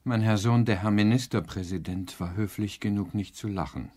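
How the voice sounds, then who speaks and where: An actor playing a cautious, thoughtful older professor in a radio drama, speaking earnestly and hesitantly. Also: male voice, fairly low pitch, normal speed, dark tone, lightly acted. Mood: wary. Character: cautious older male professor, father, thoughtful civil servant. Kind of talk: German radio drama acting.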